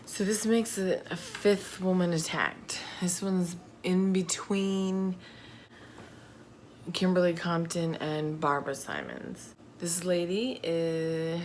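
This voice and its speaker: A woman telling true crime stories casually, in a halting, informal, chatty manner. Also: low voice. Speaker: host of a true crime video channel. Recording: faint background noise.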